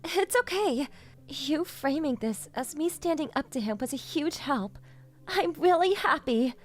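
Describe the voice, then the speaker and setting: A woman's voice: high voice, expressive, a little slow. An actress playing a sweet, meek young woman, earnest and timid, in a romcom manga dub. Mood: grateful. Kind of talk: anime-style romcom manga dub acting.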